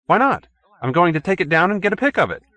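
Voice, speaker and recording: A man's voice: high-pitched, big pitch swings, quick pace, bright, forceful tone, dry recording, voice pushed up, full character voice. A man voicing a brash, cynical newspaperman who talks in slangy, indignant wisecracks in an audiobook story.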